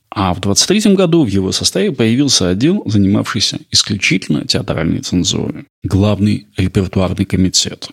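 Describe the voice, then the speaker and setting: A man giving a scripted history narration in a measured, explanatory way. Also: fairly low pitch, vocal fry, husky.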